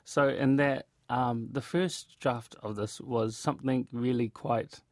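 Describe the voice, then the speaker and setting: A man's voice: medium pitch, fairly even intonation, a little slow, a little mellow, no echo, clean studio sound. A man with a New Zealand accent chatting informally in an interview about his creative work, often retelling with quoted asides. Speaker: theatre director and actor being interviewed. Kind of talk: casual interview chat.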